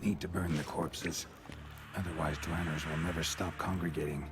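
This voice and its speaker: An actor playing a stoic, world-weary monster hunter in a video game, terse and deadpan. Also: male voice, rumbling low, moderate pace. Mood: terse, observant. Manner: terse, deadpan, muttering to himself. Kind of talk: dramatic video game dialogue. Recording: background music behind the voice.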